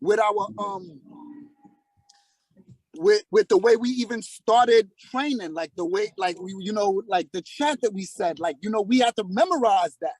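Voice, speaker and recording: A man's voice: high-pitched, lively intonation, unhurried, no room sound, poor audio. Man in his forties, reflective. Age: 40s. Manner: fills speech with "like" and "you know". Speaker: guest, former student. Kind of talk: casual reflective chat.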